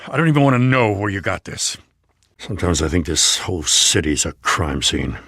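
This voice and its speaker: A weary, low-voiced police lieutenant. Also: male voice, very animated, normal speed, sharp tone, no room sound, studio quality, full character voice. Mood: guarded. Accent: American. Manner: plain-spoken, earnest, colloquial. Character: weary, principled male police lieutenant, a father. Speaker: voice actor. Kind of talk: video game dramatic dialogue.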